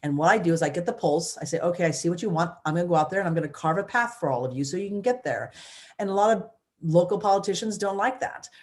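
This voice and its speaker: Loud, energetic woman telling her story. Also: fairly low pitch, quick pace. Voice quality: loud voice, big personality. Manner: energetic, many restarts and "you know". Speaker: guest, former mayor. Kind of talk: casual interview storytelling.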